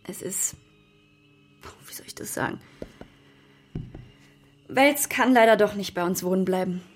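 An actress playing a gentle, regretful mother with a careful, hesitant manner in a German children's radio drama. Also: medium pitch, unhurried, faint music behind, lightly acted.

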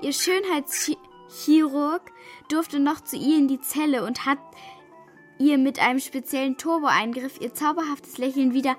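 An actress playing a cheeky, eager young granddaughter in a children's radio play, casual and youthful. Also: female voice, sits high, unhurried, faint music behind. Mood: gossipy.

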